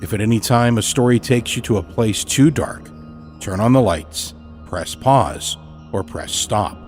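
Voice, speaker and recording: Measured male horror podcast host. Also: on the low side, animated, a little mellow, soft background music. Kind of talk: scripted horror podcast introduction.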